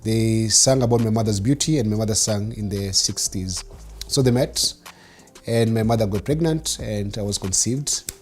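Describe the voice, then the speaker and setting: A man's voice: lowish voice, normal speed, darkish tone, slightly rough. A man in his forties with a Kenyan accent and Swahili as his first language, telling his life story conversationally as an interview guest. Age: 40s.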